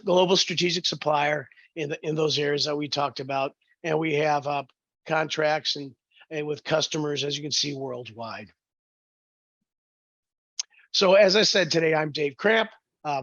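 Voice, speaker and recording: A man with a hoarse, froggy voice hosting a business webinar, halting with many fillers and restarts. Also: a little high, bright, forceful tone, no room sound, dull audio. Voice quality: gravelly. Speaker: webinar host, sales director. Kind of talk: webinar presentation.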